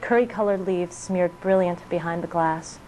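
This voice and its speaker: A woman poet reading her work aloud in a measured delivery of short, clipped phrases. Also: fairly low pitch, dark tone, a little hiss or hum, rough recording. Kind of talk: poetry reading.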